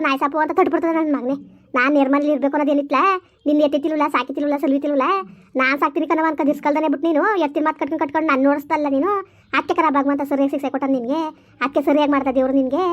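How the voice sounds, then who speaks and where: A woman doing a shrill, foul-mouthed village elder sister. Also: fairly even intonation, rapid-fire, dull audio, natural voice. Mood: scolding. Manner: berating, abusive, hectoring. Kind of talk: village family drama skit.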